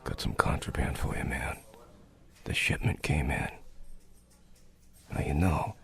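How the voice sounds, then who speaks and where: An actor in an audio drama playing a wary contraband smuggler, with a gravelly, husky voice and a hushed, casual manner. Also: male voice, a bass voice, a little slow, whispered, full character voice. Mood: furtive. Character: wary, low-key male contraband smuggler.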